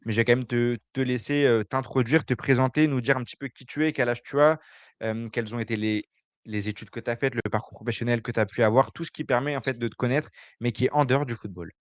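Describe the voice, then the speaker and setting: A man's voice: mid-range voice, fast talker, no echo. Man hosting a podcast, dry close sound. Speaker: podcast host. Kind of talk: podcast interview.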